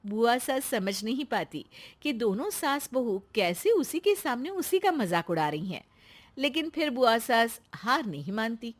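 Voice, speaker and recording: A woman's voice: a little high, sing-song, a little slow. A woman narrating a scripted family moral story.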